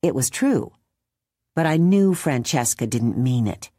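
A woman narrating a children's novel aloud in a gentle, wry storytelling manner. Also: extremely low pitch, lively intonation, measured pace, no room sound, clean studio sound. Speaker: audiobook narrator. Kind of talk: scripted narration.

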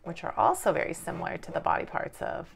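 A woman with a very lively, swooping delivery leads a casual game for young children. She sounds like an encouraging preschool teacher, given to exclaiming. The indoor tabletop recording has clear background noise and clicks. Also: lowish voice, quick pace. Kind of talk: casual children's lesson while playing a game.

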